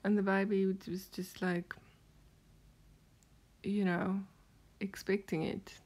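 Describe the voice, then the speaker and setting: Vlogger woman with a South African accent: husky, creaky, soft-spoken, meandering storyteller who drifts into a whisper. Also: medium pitch, level tone, takes it slowly. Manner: soft, often half-whispered, hesitant with many fillers. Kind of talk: rambling recounting of a dream.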